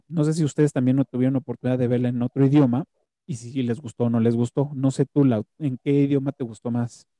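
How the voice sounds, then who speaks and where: A man's voice: medium pitch, dark tone, no echo. A man hosting a casual film review chat, talking hesitantly and often restarting his words.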